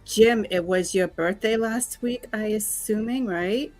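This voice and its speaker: A woman's voice: a little slow, darkish tone, soft background music. A woman hosting a casual online chat, asking her guest questions in a friendly, talkative way. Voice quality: calls her own voice squeaky. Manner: gravelly, chatty, asks questions. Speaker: host.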